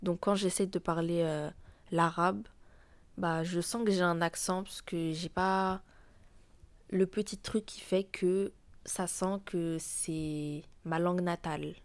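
A woman's voice: on the low side, steady tone, no echo. Hesitant French teenage girl, dry sound. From France. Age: teens. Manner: hesitant, many pauses with "euh". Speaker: interviewee. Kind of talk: casual interview answers.

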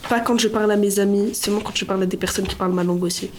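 A woman's voice: mid-range voice, steady tone, rapid-fire, darkish tone. A woman giving casual, informal interview answers about herself. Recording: faint ambient noise.

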